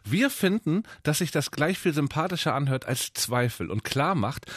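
Chatty radio host, dry close sound. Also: male voice, medium pitch, husky, dry recording. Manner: chatty, reads aloud haltingly. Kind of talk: casual radio banter. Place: radio studio.

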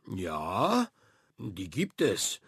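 A man's voice: sits low, huge pitch swings, drawn-out words, fairly bright tone, husky, no room sound, studio quality, pitched below the performer's own voice, full character voice. A man voicing a cheerful, bumbling, bearded pirate captain who mumbles sheepishly and can turn boisterous.

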